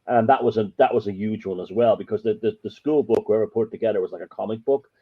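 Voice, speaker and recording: A man's voice: fairly low pitch, fast, breathy edge. An Irish man, a podcast guest, telling stories in a rambling, emphatic way. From Ireland. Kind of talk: casual storytelling chat. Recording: muffled lo-fi audio.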